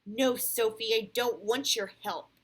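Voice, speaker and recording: A woman's voice: on the high side, unhurried, husky, voice pushed up. A woman voicing an angry, boastful little boy playing pirate in a children's storybook. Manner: bragging, snapping, yelling.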